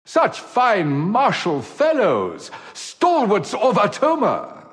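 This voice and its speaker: An actor voicing a flirtatious, courtly gentleman officer in a sci-fi video game, teasing in flowery language. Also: sits high, wildly swooping pitch, takes it slowly, heavily acted. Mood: courtly, wistful. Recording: long hall reverb.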